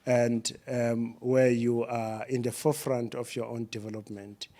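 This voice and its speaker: A man with a South African accent, speaking as a panelist about a development program in a measured, explanatory way with frequent hesitations. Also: medium pitch, level tone, warm tone. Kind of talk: conference panel talk. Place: symposium panel on stage. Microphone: microphone at a panel.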